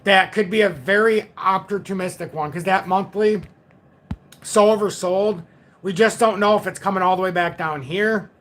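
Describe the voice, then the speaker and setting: A man's voice: high voice, normal speed, a bit bright. Man in his forties talking crypto charts. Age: 40s. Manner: talks to chat, reads viewer comments, repeats himself. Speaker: crypto livestream host. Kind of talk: casual chart analysis livestream.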